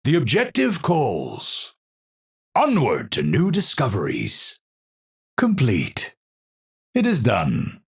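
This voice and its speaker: An actor playing an eccentric, unstable Dutch astrophysicist in a video game, learned and grandiose, with theatrical, professorial diction. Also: male voice, mid-range voice, huge pitch swings, gravelly, husky, full character voice. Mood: urgent, commanding. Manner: theatrical, professorial, slow and deliberate wording.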